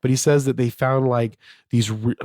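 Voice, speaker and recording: A man hosting his own podcast, talking casually and a bit slightly raspy from a fever, with lots of fillers and restarts. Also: mid-range voice, steady tone, moderate pace, mellow, no room sound, studio quality. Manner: rambling, many fillers and restarts. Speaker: podcast host. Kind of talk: casual solo podcast monologue.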